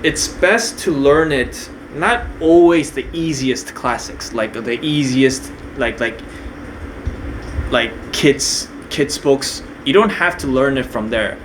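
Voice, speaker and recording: Young man from Korea, casual unscripted talk, lots of ums and restarts, even mid pitch, steady hum behind. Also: slow pace, low-quality recording. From Korea, raised partly in New Zealand. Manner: hesitant, many fillers and restarts. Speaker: video creator.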